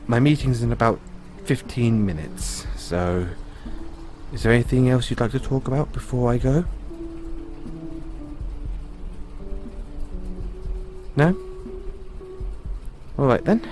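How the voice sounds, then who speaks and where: A man playing a thoughtful, kindly owner of an android in an audio roleplay, musing and explaining things to the listener in a measured way. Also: medium pitch, a little mellow, faint music behind, lightly acted. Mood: casual, slightly secretive.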